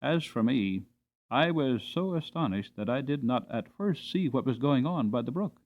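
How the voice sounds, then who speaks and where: Animated male storybook narrator. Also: mid-range voice, dull audio. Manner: expressive, deliberate phrasing. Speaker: audiobook narrator. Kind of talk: dramatic scripted storybook narration.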